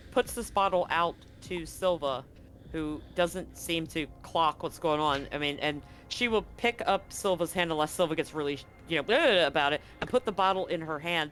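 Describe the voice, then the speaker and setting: Higher-voiced man running a tabletop game. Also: sharp tone, slight background noise. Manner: explanatory, addressing players and audience. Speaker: game master. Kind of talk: live tabletop roleplaying game narration.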